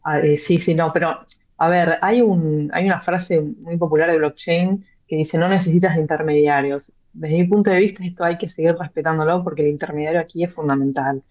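A woman's voice: low voice, fairly even intonation, brisk. A woman answers interview questions at length. She talks with frequent fillers and restarts. The sound is dull and lo-fi. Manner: hesitant, many fillers and repeated words. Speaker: author and blockchain real estate guest.

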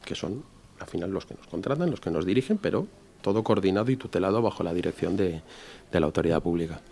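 Brief: man, 50s, from Spain, security pro, low gravelly voice, explains patiently and drives points home. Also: animated, fast, mellow. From Barcelona, Spain. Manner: explanatory, repeats key phrases, rhetorical tags. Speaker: security company executive. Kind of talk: conversational interview answers.

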